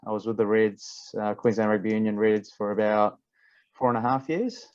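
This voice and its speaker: A man from Fiji, a rugby coach chatting as a podcast guest, relaxed and repeating words as he thinks. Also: fairly low pitch, moderate pace, clear, resonant tone, dry recording, muffled recording. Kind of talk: casual interview chat.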